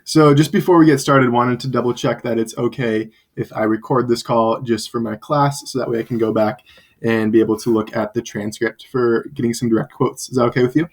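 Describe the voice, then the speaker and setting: A man's voice: mid-range voice, steady tone, fairly quick. Polite student interviewer, man. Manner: polite, with many ums and uhs. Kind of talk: scripted interview questions over a call.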